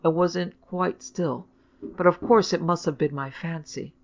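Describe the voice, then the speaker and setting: A woman voicing a fond, firm upper-class mother in a storybook, brisk and coaxing. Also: lowish voice, fairly even intonation, dull audio, slight background noise. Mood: suspicious.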